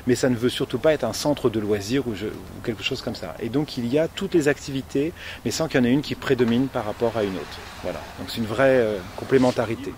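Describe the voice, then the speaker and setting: A man's voice: medium pitch, a little fast, hardly any pauses, darkish tone, slight background noise. A man speaking spontaneously as an architect, explaining his project step by step.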